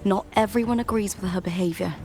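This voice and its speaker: A woman's voice: mid-range voice, fast talker, warm tone, soft background music, lightly acted. An actress playing a frank young woman in a video game, explaining things matter-of-factly.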